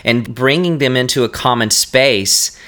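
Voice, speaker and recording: A man's voice: mid-range voice, measured pace, pushed and bright. A man hosting a podcast interview, talking in an earnest, enthusiastic and rambling way. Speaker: podcast host. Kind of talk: casual interview chat.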